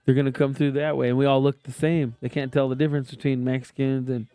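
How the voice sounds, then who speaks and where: A man's voice: mid-range voice, flat delivery, fast, dark tone, clean tone, no echo. A man hosting a casual podcast, mostly agreeing and laughing along with his guest. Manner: laid-back, short agreeing replies, laughs along. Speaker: podcast host.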